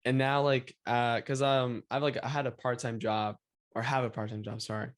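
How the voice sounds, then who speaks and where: A young man from Venezuela hosts in a relaxed, easygoing tone with a medium-pitched voice. He fills his sentences with "like" and small restarts, chatting casually. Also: moderate pace. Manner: relaxed, filler-heavy with lots of "like". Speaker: host. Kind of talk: casual interview chat.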